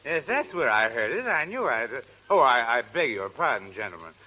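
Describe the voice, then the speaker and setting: Tipsy eccentric gent, combative but courteous, animated swoops, piercing tone, old crackly radio comedy. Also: male voice, medium pitch, brisk, heavily acted. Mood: politely muddled. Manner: rambling, drunkenly genteel, abruptly combative. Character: tipsy, belligerent yet polite gentleman, muddled and eccentric. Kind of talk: 1930s radio comedy acting. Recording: crackling 1930s radio broadcast.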